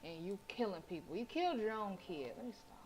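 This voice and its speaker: A woman game streamer reading visual novel narration aloud, expressive, with casual blunt reactions. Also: medium pitch, fairly quick, a little hiss or hum, poor audio.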